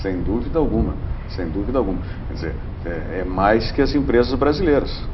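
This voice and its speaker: A man's voice: on the low side, lively intonation, a little fast, a crowd in the background. Chatty male TV host. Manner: warm, chatty, with frequent tag questions. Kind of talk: TV interview. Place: TV studio. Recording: roomy, dull TV audio.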